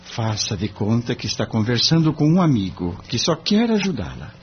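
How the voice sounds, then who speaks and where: An actor with a Brazilian accent playing an earnest young lawyer, polite, formal and gently probing in an audio drama. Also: male voice, medium pitch, very animated, normal speed, natural voice. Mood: reassuring, probing. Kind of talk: audio drama acting in Portuguese. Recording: dull lo-fi audio with clear noise.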